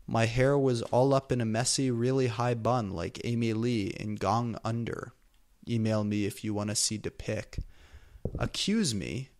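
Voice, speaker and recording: A man reads amateur fiction out loud as a comic performance. His voice sits at a middle pitch, and he leans into the text with exaggerated, theatrical delivery. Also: little pitch movement, normal speed. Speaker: fan fiction reader. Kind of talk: comedic dramatic reading.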